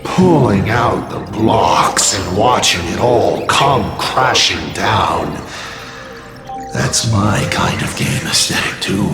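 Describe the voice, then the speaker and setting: A gloating game villain who mocks his enemy with jokes. His pitch swings widely and he draws out his words slowly. A roomy reverb and loud music play behind him. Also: expressive. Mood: mocking. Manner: mocking, theatrical, drawn-out phrasing. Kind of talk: game cutscene voice acting.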